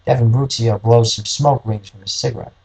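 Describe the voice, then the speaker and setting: A man's voice: medium pitch, fairly even intonation, fairly quick, gravelly, darkish tone, slight background noise, rough recording. A man reading scripted noir detective story narration as the narrator.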